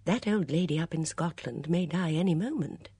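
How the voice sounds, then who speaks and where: A woman voicing a shrewd, gentle elderly English spinster in an audiobook, with a mild, absent-minded murmur. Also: fairly low pitch, normal speed, slightly rough, no echo, voice pushed up. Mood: troubled, murmuring.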